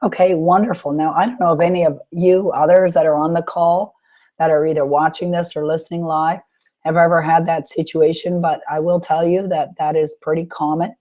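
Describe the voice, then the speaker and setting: A woman hosting a live webinar question-and-answer session with a sore throat, talking in an encouraging, coaching way with frequent pauses. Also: low voice, warm tone. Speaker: webinar host and author coach.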